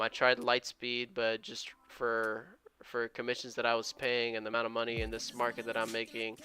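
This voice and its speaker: A young man from Mexico chatting casually on a live trading stream, reading out viewer comments as he goes. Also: medium pitch. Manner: relaxed, chatty, reads viewer comments aloud. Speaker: day trading livestreamer. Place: his room at home.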